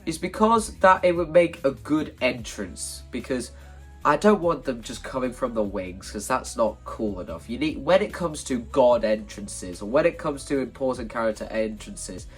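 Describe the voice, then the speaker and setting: A man's voice: medium pitch, slightly rough. Casual man with a slightly stuffy nose. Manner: informal, self-deprecating, rambling. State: hay fever sniffles. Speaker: video creator presenting a stage play concept. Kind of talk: casual explanatory vlog.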